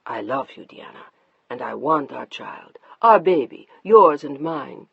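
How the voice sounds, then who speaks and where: A narrator voicing a middle-aged husband who speaks low, calm and unyielding, in a slightly muffled dry recording. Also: male voice, moderate pace, gravelly, slightly rough, no echo, full character voice. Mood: steely calm. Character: stern controlling husband, middle-aged, quiet and steely.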